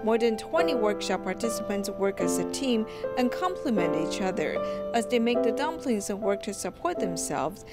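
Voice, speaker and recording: A woman narrating a news feature in an expressive voice-over. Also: mid-range voice, normal speed, few pauses, loud music behind the voice.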